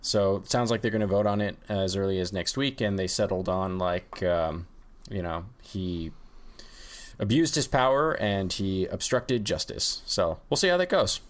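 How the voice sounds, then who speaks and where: A man co-hosting a podcast in a casual political chat, laid-back and quick to laugh. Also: low voice. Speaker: podcast co-host.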